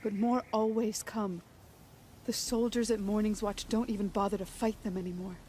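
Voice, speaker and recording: An actress playing an anxious, pleading villager in a video game, earnest and worried in manner. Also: female voice, mid-range voice, steady tone, moderate pace, mellow, a little hiss or hum, close to an everyday voice. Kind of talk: cinematic game dialogue.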